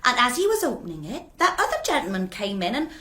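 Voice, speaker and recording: An actress playing a nervous, deferential housemaid in a radio play, with hesitant, plain rustic speech. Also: female voice, a little high, big pitch swings, fast, pushed and bright. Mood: nervous, meek.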